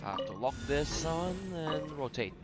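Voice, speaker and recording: A man's voice: on the high side, animated, normal speed. A man giving casual commentary as he plays a video game. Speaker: gamer. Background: game music.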